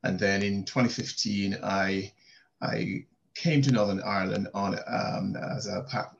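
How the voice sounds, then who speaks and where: A man in his fifties, a minister, speaks deliberately on a video call from a book-lined study. His voice sits low with a gravelly edge. The audio comes through dull and lo-fi. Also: fairly even intonation, slight background noise. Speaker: Moravian minister. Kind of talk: panel self-introduction. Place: home study with bookshelves, indoors.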